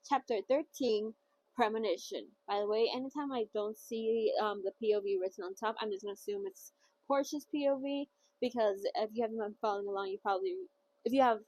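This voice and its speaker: A woman's voice: mid-range voice, darkish tone, low-quality recording. A woman hosting a casual novel read-along who rambles chattily and often trips over words. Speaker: book reader and host.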